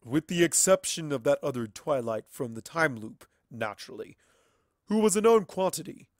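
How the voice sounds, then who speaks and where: A man's voice: fairly high pitch, expressive, normal speed, no echo, voice pushed up, lightly acted. Man performing lofty inhuman entity for fantasy audiobook, detached and condescending, formal diction, dry sound. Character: cold, lofty otherworldly collective entity speaking through a child. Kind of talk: dramatic fan fiction reading.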